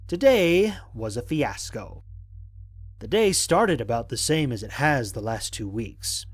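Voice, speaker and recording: A man playing a stranded space explorer who narrates his mission log in a measured, formal, dryly humorous way. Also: medium pitch, very animated. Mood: wry, weary. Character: stranded male space explorer, analytical, wryly exasperated. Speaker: narrator of an audio drama. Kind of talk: scripted first-person mission log narration.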